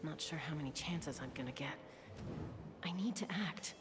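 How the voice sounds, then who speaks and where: Low-voiced determined young woman scout. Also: animated, normal speed, music in the background. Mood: tense, cautious. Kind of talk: video game voice acting.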